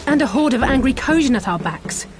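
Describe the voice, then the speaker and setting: An actress playing a composed, commanding adventurer in a fantasy video game, speaking with urgency. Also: female voice, high-pitched, animated, a little fast, over music. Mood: urgent resolve.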